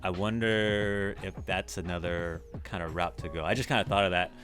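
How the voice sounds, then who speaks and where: A low-voiced man chats on a podcast, agreeing and thinking his ideas through as he talks. Faint music runs underneath. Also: steady tone, normal speed, fairly bright tone. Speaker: podcast co-host. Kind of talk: casual chat.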